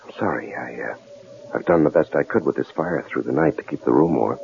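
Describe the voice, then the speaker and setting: A devoted, resourceful husband talks in a very deep, gravelly voice. He is reassuring and low-key, as in an old radio drama, on a hissy narrow-band recording. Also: fairly quick. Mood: subdued, tender. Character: protective, resourceful, devoted husband held captive.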